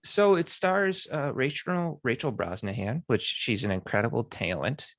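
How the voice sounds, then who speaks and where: A man's voice: medium pitch, normal speed, fairly bright tone, no echo. A man hosting a screenwriting podcast, upbeat and chatty with frequent restarts. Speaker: podcast host. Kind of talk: casual interview chat with ad read.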